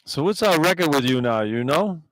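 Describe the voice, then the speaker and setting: A man's voice: on the high side, lively intonation, fairly quick, no echo, low-quality recording. An older man giving conversational sports analysis as a radio color commentator, speaking into a broadcast headset mic.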